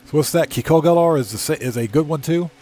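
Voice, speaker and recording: A man livestreaming a game, chatting casually with viewers and thinking aloud in a halting, easygoing way. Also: a little high, warm tone. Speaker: livestream host and gamer.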